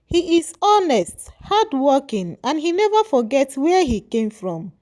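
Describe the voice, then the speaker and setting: Female folktale narrator: measured and moralizing, unhurried, mellow tone. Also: high-pitched, very animated. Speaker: storyteller.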